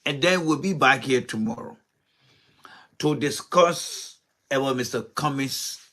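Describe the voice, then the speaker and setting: A man delivers a political monologue as host of his own show. His voice sits at an ordinary middle pitch. He talks with passion, fires off rhetorical questions and circles back to repeat his points. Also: unhurried, pushed and bright.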